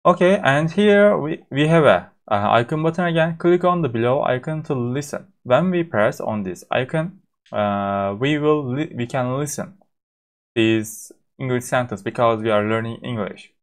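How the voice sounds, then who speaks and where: Turkish guy demoing an app, lots of uhs and restarts, middle pitch. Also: expressive. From Turkey. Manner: halting, frequent fillers and restarts. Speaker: course instructor. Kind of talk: software demo tutorial.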